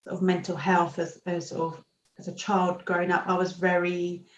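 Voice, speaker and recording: A woman speaking as a panel guest in a quiet, measured and hesitant way. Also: on the low side, little pitch movement, slight background noise. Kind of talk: video panel discussion. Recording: distant, roomy, dull audio.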